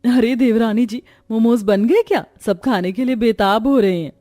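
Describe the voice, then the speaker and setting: Woman as a high-pitched, impatient sister-in-law. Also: moderate pace, dark tone, no room sound, studio quality, lightly acted. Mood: teasing. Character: impatient, teasing young elder sister-in-law. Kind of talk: cartoon voice acting.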